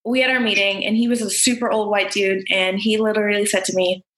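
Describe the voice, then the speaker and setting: A woman, a podcast guest talking in an upbeat, lively way. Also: mid-range voice, fast talker. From United States. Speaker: guest, tech worker and writer. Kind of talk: casual conversation. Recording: roomy echo.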